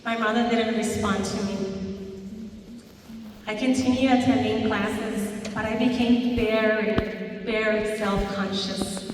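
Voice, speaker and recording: A woman from Mexico, giving an earnest personal motivational speech at a school in a slow storytelling manner with emphatic pauses. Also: mid-range voice, fairly even intonation, faint music behind. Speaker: guest speaker at a school. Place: large school hall. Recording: echoey hall, voice from far off.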